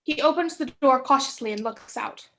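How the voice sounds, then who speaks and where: A woman's voice: high-pitched, normal speed, a bit bright. A young adult woman reading stage directions as scripted narration for a play.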